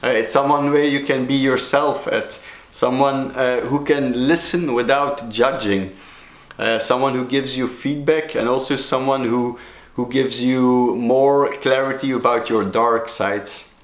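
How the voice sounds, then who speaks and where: A man from Belgium, a native Dutch speaker with a Belgian Dutch accent, talking to camera as a sobriety coach, halting with many fillers and restarts. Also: mid-range voice, steady tone, reverberant. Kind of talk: solo talking-head vlog.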